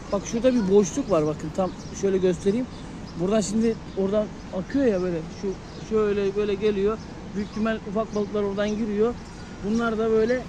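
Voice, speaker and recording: Excitable young man vlogging outdoors. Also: high voice. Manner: chatty, excitable, addresses viewers directly. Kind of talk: casual travel vlog. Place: outdoors by a river and waterfall. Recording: loud outdoor noise.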